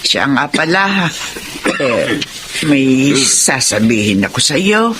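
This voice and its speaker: A woman's voice: extremely low pitch, very animated, takes it slowly, exaggerated voice. A woman playing a caring, apologetic elderly Filipino grandmother in a family drama, with folksy, plaintive interjections. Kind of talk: dramatized radio-style life story acting. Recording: clear background noise.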